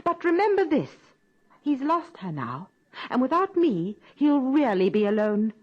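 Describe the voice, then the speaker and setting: An actress playing a coolly controlling grown daughter in a radio drama, with a dry, clipped delivery. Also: female voice, high-pitched, very animated, a little slow, exaggerated voice. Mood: cool, calculating. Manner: dry, clipped, matter-of-fact. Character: cool, controlling grown daughter, dryly self-possessed. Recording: dull, lo-fi radio recording.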